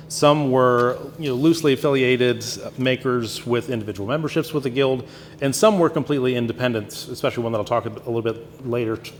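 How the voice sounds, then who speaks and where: Male conference speaker with a stuffed-up nose, casual and halting, lots of ums; long hall reverb and faint room hum. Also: mid-range voice. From Virginia, Shenandoah Valley. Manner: conversational, hesitant with many fillers and restarts. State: stuffy nose from sinus infection. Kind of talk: lecture presentation.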